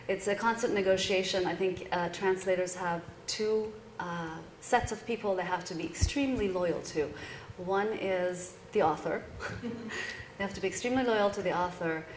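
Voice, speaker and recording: A middle-aged woman, a Persian-speaking translator born in London, giving a thoughtful, explanatory talk to a live audience, often pausing on uh and um. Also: on the low side, a little hiss or hum. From born in London, grew up partly in Iran. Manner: thoughtful, explanatory, frequent uh and um fillers. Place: reading event venue, in front of an audience.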